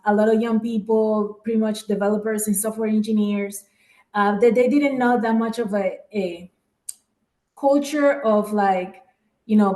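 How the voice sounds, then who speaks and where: A woman from the Dominican Republic speaks at a mid pitch on a video call, telling about her work in a relaxed, loose way. Her voice rings in a roomy, echoing space, and she leans on fillers like "like" and "um". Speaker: guest speaker, program manager. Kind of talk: casual webinar chat.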